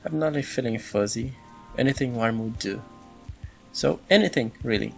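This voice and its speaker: Man acting wistful male elf, creaky voice, measured and confiding, visual novel fandub, music behind. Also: mid-range voice, close to an everyday voice. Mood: polite, relaxed. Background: background music.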